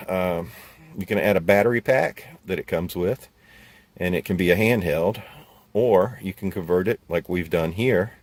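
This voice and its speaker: A man giving a casual, plain-spoken, unscripted product walkthrough as host, recorded on a phone. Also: sits low. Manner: plain-spoken, informal, explanatory. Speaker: host of an off-road radio gear overview. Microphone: phone used for filming. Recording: noisy phone camera audio.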